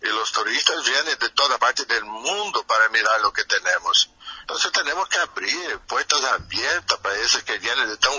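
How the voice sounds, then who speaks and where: A Brazilian man speaks as an official guest in a radio interview, with a Portuguese accent. His voice is very sharp and rough-edged, with no room sound. He talks haltingly, searching for words in a language that is not his first. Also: medium pitch, dry recording, lo-fi sound. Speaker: municipal tourism secretary, interview guest. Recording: remote call heard over radio.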